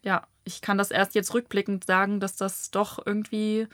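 A young woman from Germany, a novelist guest, talking thoughtfully in an interview with searching pauses and fillers. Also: mid-range voice, little pitch movement, a bit bright, no room sound, studio quality. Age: young adult. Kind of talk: reflective interview talk.

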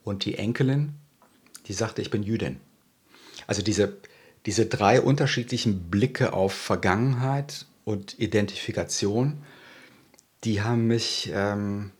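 A man answering interview questions in a thoughtful way, often pausing and searching for words. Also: fairly low pitch, husky. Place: Berlin.

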